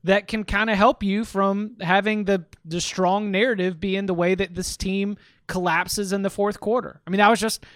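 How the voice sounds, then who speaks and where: A man's voice: high voice, level tone, moderate pace, few pauses, a bit bright, no room sound, studio quality. A man hosting a sports podcast, talking things through casually and often restarting his words. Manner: rambling, repeats words while thinking.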